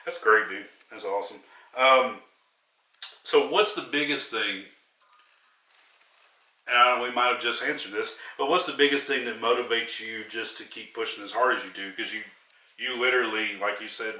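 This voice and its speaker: Encouraging interviewer in an echoey room. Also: male voice, lowish voice, bright, forceful tone, distant mic. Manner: encouraging, repetitive affirmations. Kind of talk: casual interview talk.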